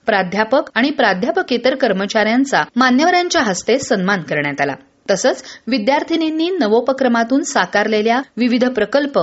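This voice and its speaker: A woman reads scripted radio news in a formal, measured manner. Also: a little high, dull audio. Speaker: radio newsreader.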